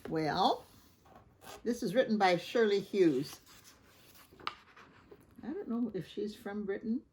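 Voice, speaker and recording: A woman reading a picture book aloud to children, gently chatting and stopping to ask the listener questions. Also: mid-range voice, animated, slow, slight background noise. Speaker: storybook reader.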